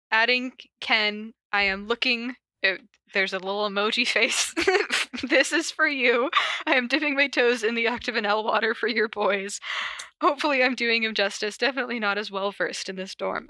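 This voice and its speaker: Female podcast host, very bright higher voice, playful and giggly, casual delivery, dry close sound. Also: normal speed, no room sound. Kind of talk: casual podcast chat with reading aloud of notes.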